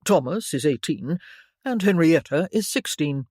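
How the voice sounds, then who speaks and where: A stiff middle-aged gentleman, as a woman reader puts him on, speaking in a measured, formal way that is only just loosening. The voice sits low, and the recording is dry and close. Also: expressive, dry recording. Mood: courteous. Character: stiff middle-aged male baronet, father, slowly unbending.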